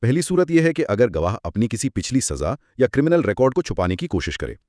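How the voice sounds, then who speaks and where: A man's voice: medium pitch, sing-song, fast, darkish tone, no room sound, clean studio sound. A man co-hosting a conversational legal explainer, teaching through examples and rhetorical questions. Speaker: co-host explaining law.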